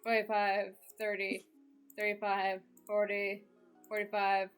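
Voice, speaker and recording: A woman's voice: mid-range voice, completely flat delivery, unhurried, smooth. A woman streaming a game with casual chat, blunt and sweary in manner. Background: game music.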